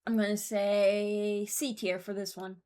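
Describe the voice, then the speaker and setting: A woman's voice: medium pitch, flat delivery, a little slow, warm tone, smooth. A woman hosting a video, giving casual, rambling commentary and hesitating as she thinks aloud. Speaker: video creator and host.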